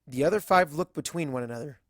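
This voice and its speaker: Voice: medium pitch, quick pace, no echo. Close, dry narration of a story. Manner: acts out dialogue in varied character voices. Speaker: narrator of a fan fiction audiobook. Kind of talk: scripted first-person story narration.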